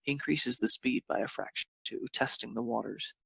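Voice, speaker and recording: A man's voice: mid-range voice, a little fast, creaky, sharp tone, slightly rough, no room sound. A man narrating a piece of fan fiction, reading it aloud.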